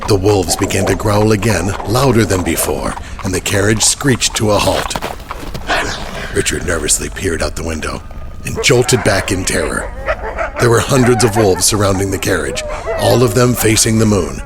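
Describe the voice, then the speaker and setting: A man's voice: low voice, normal speed, very noisy. A man narrating a horror audio drama in a dramatic, scripted storytelling style.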